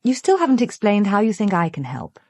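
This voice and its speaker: An actress playing a cool, businesslike British private detective in a radio drama, reserved and precise. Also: female voice, medium pitch, wildly swooping pitch, a little fast, a little mellow, no echo. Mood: reserved, probing.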